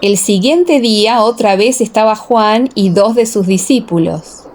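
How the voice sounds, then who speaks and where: Casting: female scripture narrator for an audio drama, middle pitch, measured and reverent in phrasing. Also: darkish tone.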